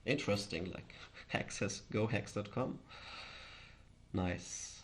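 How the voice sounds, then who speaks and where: A man from Austria with an Austrian accent and German as his first language, chatting casually on a livestream in a hesitant, halting way. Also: on the low side, a little hiss or hum. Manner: hesitant, halting, chuckles often. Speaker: live streamer, host.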